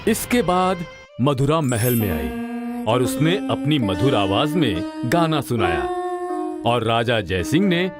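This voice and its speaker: A man tells a scripted story for children. His delivery is slow with lively intonation, and music plays behind him. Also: mid-range voice. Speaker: narrator. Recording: music under the voice.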